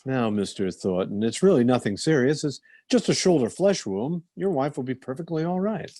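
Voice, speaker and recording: Radio drama doctor male actor: reassuring, matter-of-fact, mellow tone, dry close sound. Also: medium pitch, expressive, normal speed, dry recording, close to an everyday voice. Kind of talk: old-time radio drama acting.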